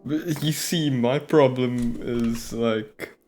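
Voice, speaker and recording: A man's voice: mid-range voice, steady tone, takes it slowly, a little mellow, faint music behind. A South African man, a native Afrikaans speaker, co-hosting a casual podcast chat, hesitant and laughing often. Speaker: podcast co-host. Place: living in America.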